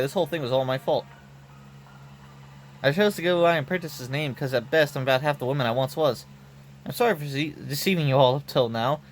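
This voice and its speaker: A man's voice: fairly high pitch, slight background noise, close to an everyday voice. A man voicing a legendary female swordmaster in game dialogue, speaking in a frank, earnest, folksy way. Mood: apologetic, sincere. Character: legendary swordswoman, cheerful, frank, encouraging gunslinger. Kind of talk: game dialogue read aloud. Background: background music.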